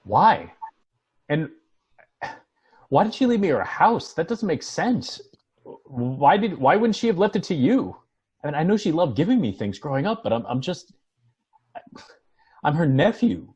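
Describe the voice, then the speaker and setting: A man's voice: fairly high pitch, big pitch swings, a little mellow, slightly rough, full character voice. A man reading the part of an uneasy, questioning heir in a TV drama table read, speaking hesitantly. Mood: bewildered. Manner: hesitant, halting. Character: Muff, bewildered male heir, uneasy and questioning. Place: home, remote video call.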